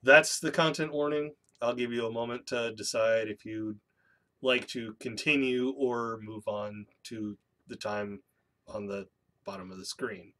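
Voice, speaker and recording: A man in his thirties hosting a personal vlog, a little congested and nasal from a cold, talking in a candid, measured way with dry self-deprecating asides. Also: medium pitch, fairly even intonation, fairly bright tone. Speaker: YouTube channel host. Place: small home room with bookshelves, indoors. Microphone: webcam in front of a seated speaker.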